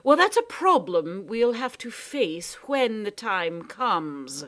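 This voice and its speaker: A woman's voice: medium pitch, big pitch swings, takes it slowly, a bit bright, pitched above the performer's own voice. A woman voicing a scheming male creature who speaks casually and with mock politeness. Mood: smug. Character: smooth, scheming male creature, cheerfully menacing.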